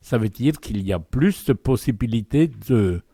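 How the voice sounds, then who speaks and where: Mellow male interviewer, dry close sound. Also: medium pitch, lively intonation, moderate pace, breathy edge, no echo. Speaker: interviewer, programme host. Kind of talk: conversational radio-style interview.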